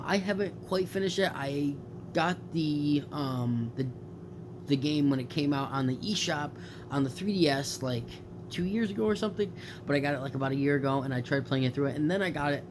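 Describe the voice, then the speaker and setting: A man's voice: a little high, fairly quick, background noise. A young man with a stuffed-up nose chatting casually about video games, rambling with lots of fillers and self-corrections. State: stuffed up from allergies. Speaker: gaming YouTuber. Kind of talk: casual late-night video chat.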